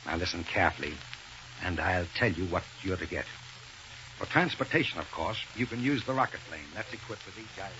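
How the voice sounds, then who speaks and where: A man's voice: low voice, natural voice. An actor playing a commanding, calculating villain in a 1930s radio serial, authoritative and impatient with his underlings. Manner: authoritative, deliberate. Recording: noisy, muffled 1930s radio recording.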